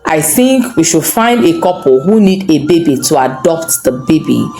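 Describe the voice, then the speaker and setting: A worried, hard-up husband in a folktale, voiced by a woman storyteller. He speaks earnestly and insistently, with animated intonation. A roomy echo surrounds the voice. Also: low-pitched, moderate pace, soft background music. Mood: serious, persuasive. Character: worried, poor husband and labourer, firm and persuasive.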